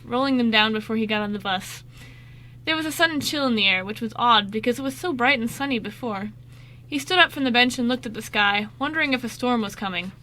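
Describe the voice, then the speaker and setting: Bright, highish-voiced woman narrating. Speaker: narrator in a radio show. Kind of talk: scripted story narration, amateur radio drama.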